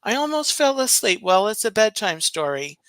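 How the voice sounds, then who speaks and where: A soft-spoken man chatting casually on a live stream, unhurried and rambling, with plenty of fillers. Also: high voice, sing-song, smooth, no echo. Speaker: co-host, stream guest.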